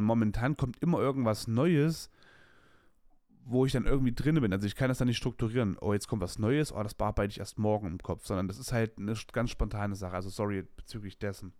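A man's voice: on the low side, a little fast, dark tone, no room sound, studio quality. A man in his late twenties hosting a podcast, talking openly in a rambling, candid way full of slang and restarts. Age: late 20s. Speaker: podcast host. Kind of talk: casual personal monologue.

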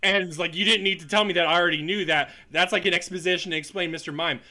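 A man's voice: sits high, fairly even intonation, quick pace, pushed and bright. A man giving opinionated takes in a casual movie discussion as a podcast panelist, swearing casually.

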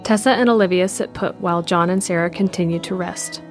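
Lower-pitched woman narrating an audio drama. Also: a little fast. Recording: faint music under the voice.

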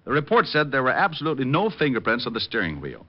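An actor playing a suave, quick-witted male private detective in a 1950s radio drama, cool and sardonic. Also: medium pitch, wildly swooping pitch. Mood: calm, knowing. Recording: dull old radio broadcast.

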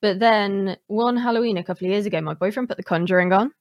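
A woman's voice: mid-range voice, fast talker, dry recording. A woman hosting a podcast interview in a casual, conversational way, with informal and emphatic phrasing.

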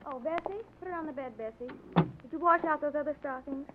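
Actress as genteel higher-voiced wife, tinny old radio. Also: female voice, fairly quick, very noisy, close to an everyday voice. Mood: shy, polite. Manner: polite, proper, gentle. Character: genteel, earnest, devoted wife and young mother. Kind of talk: 1940s radio drama acting.

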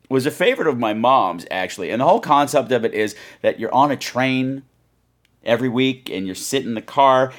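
Chatty man hosting a podcast. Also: medium pitch. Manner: chatty, self-deprecating, wry, uses mild swearing. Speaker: podcast host. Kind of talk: casual, rambling chat to listeners.

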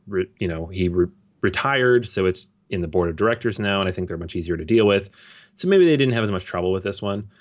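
A man co-hosting a podcast, talking casually and with firm opinions, with plenty of fillers. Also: deep voice. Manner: relaxed, opinionated, peppered with fillers. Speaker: podcast co-host. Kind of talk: casual chat. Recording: dull, lo-fi audio.

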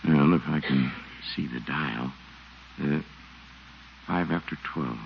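An actor playing an unhurried, complacent small-town police chief in 1940s radio comedy, folksy and placidly official. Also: male voice, extremely low pitch, creaky, heavily acted. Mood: hushed, placid. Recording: muffled, noisy 1940s radio recording.

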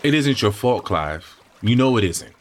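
A man playing a grieving father in an audio drama, wounded and quick to flare up. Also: lowish voice, lively intonation. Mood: strained. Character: bitter grieving father, Harry.

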